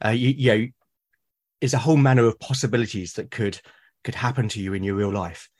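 A man's voice: on the low side, fairly quick, a little mellow. A man from Britain, a podcast guest explaining his ideas with enthusiasm and emphasis. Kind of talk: conversational interview.

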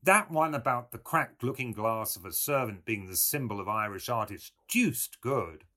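Male reader as young English gentleman, literary fiction. Self-assured, polite, formal, raised pitch. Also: expressive, moderate pace. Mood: courteous.

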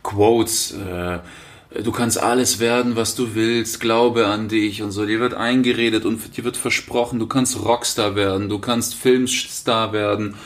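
A man's voice: lowish voice, steady tone, measured pace. A man co-hosting a podcast, frank and blunt, chiming in with short remarks. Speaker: podcast co-host. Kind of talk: casual podcast conversation.

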